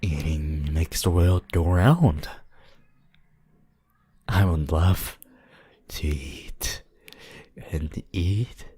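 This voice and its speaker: A woman voicing an obsessive, hungry and playful yandere vampire in an ASMR roleplay, drawn out, theatrical and breathy. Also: warm tone, heavily acted. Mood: hungry, craving. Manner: theatrical, drawn-out, swinging between breathy whispers and high squeals.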